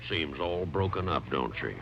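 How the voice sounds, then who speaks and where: A man's voice: very deep voice, lively intonation, normal speed. A man acting a plainspoken, insistent Western rancher in an old radio drama. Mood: brisk. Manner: folksy, plainspoken. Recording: muffled vintage radio recording, faint hiss.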